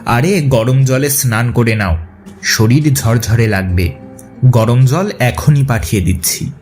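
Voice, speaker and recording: Man acting a slow-talking, hearty ghostly old friend. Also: mid-range voice, a little mellow, faint music behind, close to an everyday voice. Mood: coaxing. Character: tall male ghost of a college friend, genial, eager host. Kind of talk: dramatized audio story acting.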